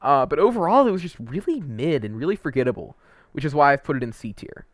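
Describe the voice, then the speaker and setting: A man's voice: a little high, big pitch swings, fast talker, dry recording, clean studio sound. A man giving casual, opinionated game review commentary, informal and emphatic, stretching words for emphasis.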